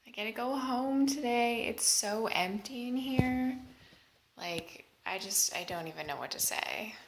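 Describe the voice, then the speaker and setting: Slow, gravelly young woman vlogger. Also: on the high side, background noise. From Washington State, USA. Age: young adult. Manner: chatty and candid, with fillers and self-mocking asides. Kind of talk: casual vlog to camera.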